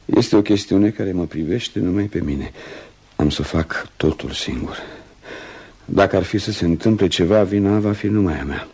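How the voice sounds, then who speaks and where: A man's voice: deep voice, vocal fry, a little mellow, breathy edge, heavily acted. An actor playing a passionate, devoted male doctor in an emotional, dramatic Romanian radio play. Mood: grave, resolute. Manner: emotional, dramatic, swinging from murmurs to cries. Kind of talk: Romanian radio theatre acting.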